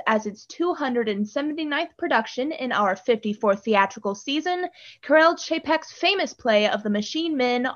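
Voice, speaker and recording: A woman announcing a radio drama in a formal, measured, theatrical manner. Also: high-pitched, fairly bright tone. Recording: slightly muffled radio-style recording.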